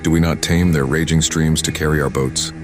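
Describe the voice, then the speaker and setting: A solemn zealot reciting scripture for a video game. The voice is extremely deep and oratorical, stern throughout, with music clearly behind it. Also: male voice, fairly even intonation, a little fast. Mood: solemn, portentous.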